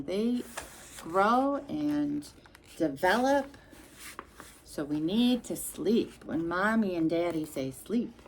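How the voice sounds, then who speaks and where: Female storytime reader for little kids. Unhurried delivery, lively swooping pitch, talks directly to the children. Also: a little hiss or hum. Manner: expressive, sing-song, addressing children directly. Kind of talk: read-aloud storytime for young children with chatty asides.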